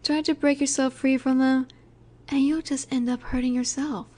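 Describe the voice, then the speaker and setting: Soft, mellow, high-voiced female mafia boss acted by a woman. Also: level tone. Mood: calm, warning. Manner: soft, unhurried, soothing yet in command. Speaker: audio roleplay voice actor. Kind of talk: intimate scripted audio roleplay, addressed to listener.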